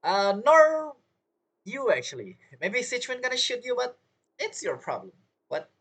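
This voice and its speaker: Lively male game streamer. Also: very high-pitched, unhurried. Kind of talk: casual game commentary. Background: game music.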